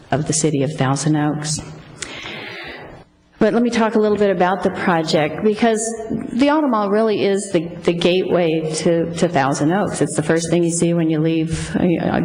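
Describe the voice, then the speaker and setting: A woman's voice: lowish voice, darkish tone. A middle-aged woman giving public comment at a council meeting, earnest, plainspoken and effusively grateful, at a podium microphone. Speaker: public speaker for local car dealers. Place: council chamber at a speaker podium. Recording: echoey hall with faint hiss.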